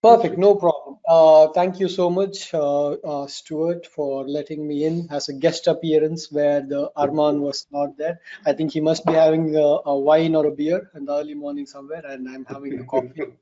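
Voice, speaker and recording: A man giving an informal technical presentation as a guest on an online call, in a halting, rephrasing way. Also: fairly high pitch, fairly even intonation, mellow, dull audio. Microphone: online meeting call.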